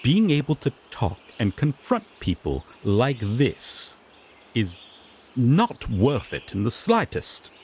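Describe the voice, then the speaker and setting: A man's voice: medium pitch, huge pitch swings, slow pace, a little mellow, a little hiss or hum, heavily acted. A man playing a sassy, exasperated griffin knight in a fantasy audio roleplay, pompous one moment and sardonically grumbling the next. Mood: exasperated, flustered. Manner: pompous proclamations slipping into flustered, sardonic grumbling.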